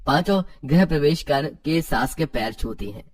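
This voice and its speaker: A man's voice: fairly high pitch, lively intonation, normal speed, recorded from a distance, faint music behind. A man reading scripted story narration as the narrator.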